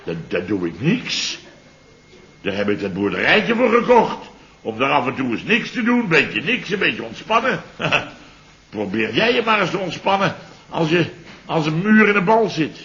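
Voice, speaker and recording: A blustering, rambling businessman who hunts for every word and blows each story up comically. His voice is bright and lively and swoops as he talks. The sound is dull and faintly hissy, like an old radio play. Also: a little high, measured pace, exaggerated voice. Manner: rambling, searches for words, comic exaggeration. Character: rambling muddled middle-aged businessman, Mr Biels. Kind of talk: 1960s radio play acting.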